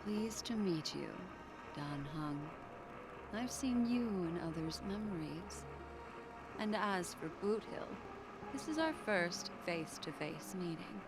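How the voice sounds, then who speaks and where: An actress voicing an elegant, composed and mysterious memory keeper in a video game, genteel and formal. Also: female voice, fairly low pitch, lively intonation, slow, over music. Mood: courteous.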